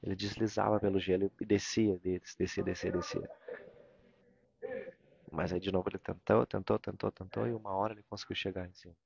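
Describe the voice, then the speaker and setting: A man with a Brazilian Portuguese accent gives a live interpretation of a morning religious talk, halting and repeating phrases as he searches for words. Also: low voice, little pitch movement, vocal fry, darkish tone, husky, highs cut off. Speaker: interpreter of a spiritual discourse.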